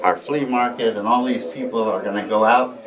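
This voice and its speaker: A man with a fairly low voice gives an informal welcome as event host. He is recorded on location with a busy crowd around him. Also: steady tone, normal speed, poor audio.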